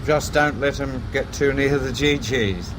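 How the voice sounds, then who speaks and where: A man's voice: mid-range voice, a little slow, close to an everyday voice. An actor as a deferential henchman, noisy car. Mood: obliging. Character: deferential male driver and underworld assistant. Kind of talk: radio drama acting. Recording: clear vehicle noise behind.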